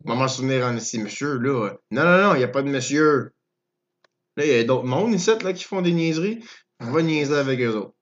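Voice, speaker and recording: A man's voice: medium pitch, a little fast, dull audio. A young man with a Québécois French accent hosting a casual chat in joking, slangy, profane talk. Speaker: podcast host.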